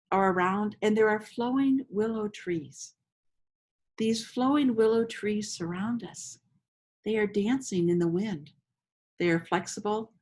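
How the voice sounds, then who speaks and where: Female meditation host, medium pitch, slow inviting delivery, gentle storytelling with generous pauses. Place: outdoors among roses.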